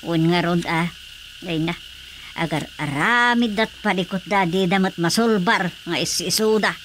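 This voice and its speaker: A woman's voice: fairly low pitch, measured pace, fairly bright tone, slight background noise. A woman playing an older, knowing village wife who chats and gossips with her husband in a radio drama. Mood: matter-of-fact.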